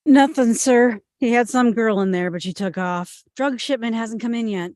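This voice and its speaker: A woman playing a brisk, dutiful police detective in a drama script read. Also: on the high side, darkish tone, dry recording, studio quality, close to an everyday voice. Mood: businesslike.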